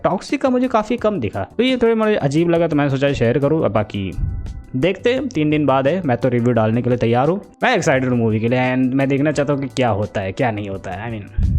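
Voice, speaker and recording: A man's voice: fairly high pitch, darkish tone. A young man thinking aloud about a film in a casual vlog, mixing English into Hindi with many fillers. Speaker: film vlogger. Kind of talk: casual Hinglish vlog commentary.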